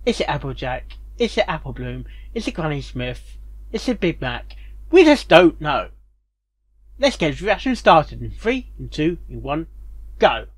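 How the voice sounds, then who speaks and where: A man with a fairly high, very lively voice rambles through casual reaction commentary. He speculates aloud and exclaims excitedly, recorded close and dry. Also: unhurried, no echo. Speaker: reaction video host.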